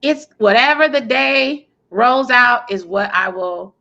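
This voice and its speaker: A woman's voice: fairly high pitch, animated, takes it slowly, muffled recording. An American woman giving articulate, emphatic answers as an interview guest, with a powerful, self-assured voice. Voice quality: loud, powerful voice. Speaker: interview guest, PR coach and speaker.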